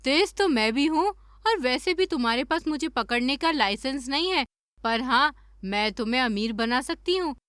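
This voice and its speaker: A sly magical golden lizard from a cartoon, played by a woman, with a high and piercing bright voice. She taunts and boasts theatrically, with menace under the words. The sound is close and dry. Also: lively intonation, moderate pace, no room sound. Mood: sly, taunting. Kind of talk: Hindi cartoon story dubbing.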